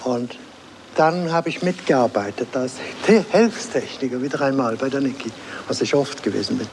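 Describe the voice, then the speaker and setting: A man, an artist, reminiscing spontaneously in an interview, halting and searching for his words. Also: mid-range voice, expressive, noisy background. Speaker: artist recalling a collaborator.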